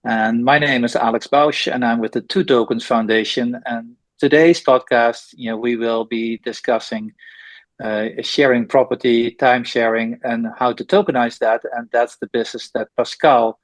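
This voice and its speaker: Podcast host, dry close sound. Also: male voice, medium pitch, steady tone, moderate pace, no room sound. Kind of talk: podcast hosting, introductions.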